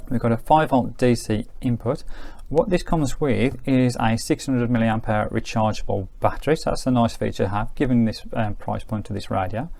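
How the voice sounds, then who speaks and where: Gravelly man demonstrating a gadget. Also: mid-range voice, a little mellow. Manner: methodical, explanatory. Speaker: product reviewer. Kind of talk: casual hands-on gadget demonstration.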